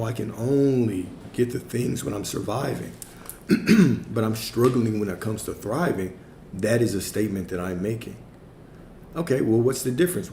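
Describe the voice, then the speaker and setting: A man's voice: fairly low pitch, gravelly, warm tone, reverberant, a little hiss or hum. A man speaking as a spiritual coach on a livestream, answering viewer questions in a reflective way, his voice a little worn from talking all day. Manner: frequent tag questions. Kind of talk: livestream Q&A talk.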